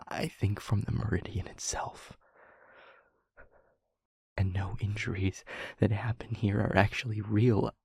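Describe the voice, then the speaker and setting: A low, gravelly male demon, acted out by a man, trapped and anguished, confesses to someone he loves. He speaks slowly and haltingly in an intimate audio drama, each phrase heavy with feeling. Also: darkish tone, no room sound, heavily acted. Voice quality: husky, breathy, gravelly half-whisper. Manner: halting, slow, emotionally weighted delivery.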